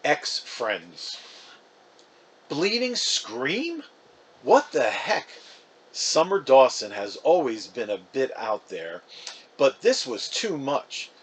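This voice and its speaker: A man's voice: mid-range voice, sing-song, takes it slowly, breathy edge, full character voice. A man reading a storybook as a chatty, wounded, defensive fifth-grade boy, casual and emphatic. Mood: baffled, huffy.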